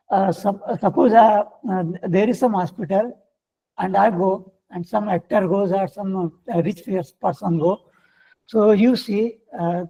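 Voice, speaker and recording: Indian man, higher voice with a dark tone, hoarse and halting, fills every pause with uh, lecturing over muffled lo-fi audio. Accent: Indian English. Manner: halting, many uh fillers and restarts. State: getting hoarse. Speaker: guest lecturer. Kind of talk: online faculty workshop lecture.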